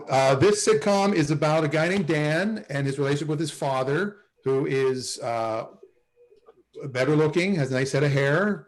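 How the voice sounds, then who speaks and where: A man's voice: mid-range voice, poor audio. A man in his forties casually hosting and introducing people on a video call livestream. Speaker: host and writer.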